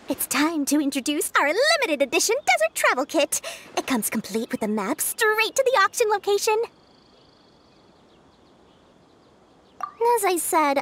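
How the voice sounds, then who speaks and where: Small greedy game merchant, high voice, lively swooping pitch, theatrical sales patter, faint music. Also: female voice. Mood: salesy, gleeful. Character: small, shrewd, money-loving female merchant, theatrical salesperson. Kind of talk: video game voice acting.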